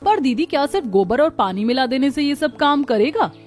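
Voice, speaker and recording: A woman's voice: high voice, lively intonation, a little fast, a little mellow, faint music behind. A woman voicing a curious, doubting younger daughter-in-law in a village cartoon, with a questioning, fretful manner. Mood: curious, doubtful. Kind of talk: scripted cartoon dialogue.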